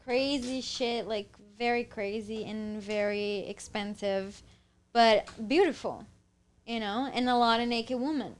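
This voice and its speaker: Slow, smooth-voiced woman from Brazil. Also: fairly high pitch. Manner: searches for words, explains carefully. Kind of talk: casual chat.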